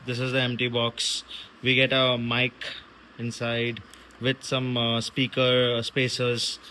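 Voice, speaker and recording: A man giving casual, halting commentary as a vlogger unboxing a product. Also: mid-range voice, completely flat delivery, sharp tone, slight background noise, poor audio.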